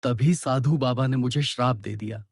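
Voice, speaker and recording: A man's voice: medium pitch, moderate pace, creaky, husky, dry recording, clean studio sound. A man playing a troubled young prince under a curse in a cartoon story, speaking earnestly. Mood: weary, confessing. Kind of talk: Hindi animated moral story dialogue.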